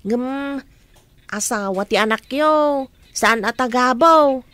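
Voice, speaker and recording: A concerned female relative in a radio drama. Her voice is high, and she speaks with questioning insistence. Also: slow, a bit bright.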